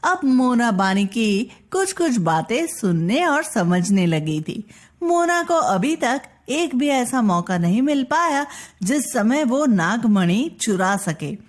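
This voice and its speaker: Female storyteller, slightly high voice, unhurried pace, expressive children's story narration.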